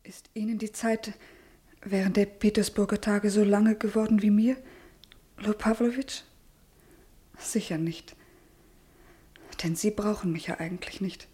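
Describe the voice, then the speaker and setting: Soft, mellow, yearning young woman played by an actress. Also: mid-range voice, fairly even intonation, unhurried, long pauses, lightly acted. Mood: yearning, reproachful. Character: shy, yearning young woman, tenderly reproachful in love. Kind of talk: German radio drama acting.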